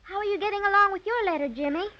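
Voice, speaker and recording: A woman's voice: extremely high pitch, rapid-fire. An actress playing an eager, bossy little girl with a prim, earnest manner in a 1930s children's radio serial. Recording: dull 1930s radio recording.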